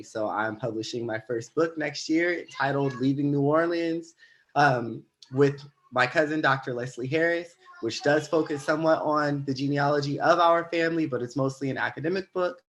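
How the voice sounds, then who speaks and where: A man's voice: medium pitch, normal speed, a bit bright, a little hiss or hum. A man whose first language is Louisiana Creole, introducing himself on a panel in a hesitant way, with many fillers. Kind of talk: casual self-introduction.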